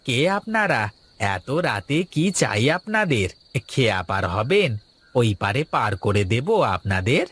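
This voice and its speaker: A voice actor playing a poor boatman and protective foster father in an animated moral story, wary and protesting. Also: medium pitch, slow pace, husky, exaggerated voice.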